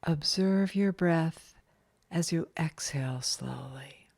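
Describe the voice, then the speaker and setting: A woman leading a scripted guided meditation in a calm, soothing, measured way with long pauses. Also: deep voice, vocal fry, husky.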